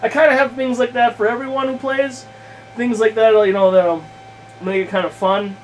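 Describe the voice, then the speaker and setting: A man with a notably high-pitched voice talks off the cuff in a casual ramble. He is halting and corrects himself as he thinks aloud, with a crude streak. The recording has clear background noise. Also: steady tone. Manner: crude, freely swearing. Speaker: podcast guest host, game master. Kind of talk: casual rambling chat about a tabletop game plan. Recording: clearly noisy room recording.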